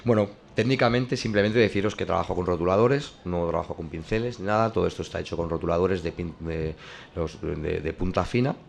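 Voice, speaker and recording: Man, very deep voice, gravelly, hesitant with restarts, explaining his art, faint background hiss. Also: a little fast. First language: not French. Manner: hesitant, many restarts and fillers. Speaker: comic artist. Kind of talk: explaining his drawing process.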